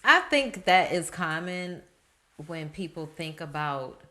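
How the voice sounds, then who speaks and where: A woman in her thirties co-hosting a podcast, chatting casually and thinking out loud with probing questions. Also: fairly low pitch, big pitch swings, slow pace. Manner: thoughtful, asks probing questions. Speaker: podcast co-host. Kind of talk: casual chat.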